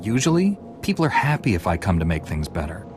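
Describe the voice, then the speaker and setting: Low-voiced, resolute young hero knight. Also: male voice, huge pitch swings, fast, music in the background. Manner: direct, resolute. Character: confident, helpful male Jedi knight. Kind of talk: video game dialogue acting.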